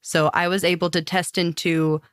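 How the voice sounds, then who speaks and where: Low-voiced chatty young American woman. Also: flat delivery, normal speed, clear, resonant tone, no echo, clean studio sound. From United States. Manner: chatty, upbeat, many "like" fillers, laughs often. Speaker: podcast guest, language learner and singer. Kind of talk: casual interview chat.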